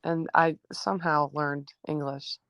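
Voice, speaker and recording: A teenage girl from New Jersey, a high school basketball player, answering interview questions casually with many fillers; her first language was Greek. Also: steady tone, unhurried, a bit bright, a little hiss or hum. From New Jersey, USA. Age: teens.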